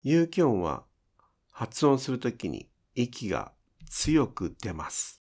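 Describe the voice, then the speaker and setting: A man's voice: medium pitch, sing-song, slow, a little mellow. A man giving scripted instructional narration as a pronunciation teacher, enunciating carefully step by step.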